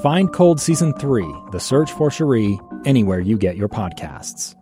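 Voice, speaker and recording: A man announces a podcast promo in a measured voice. His pitch is low but lively, with clear music behind.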